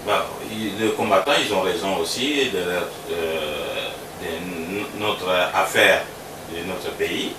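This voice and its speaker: A man from Congo, a musician, answering interview questions in a relaxed, halting way that repeats words. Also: low-pitched. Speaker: musician guest. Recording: roomy sound from a distance with background noise.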